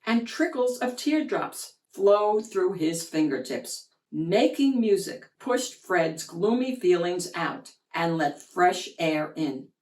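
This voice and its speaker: A woman's voice in a roomy space, a little away from the microphone, reads a picture book aloud to children. She takes her time, shaping phrases with expressive, deliberate emphasis. Her pitch sits in a middle range for a woman. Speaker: children's book reader, host of a read-aloud channel. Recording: roomy, echoey, distant from the mic.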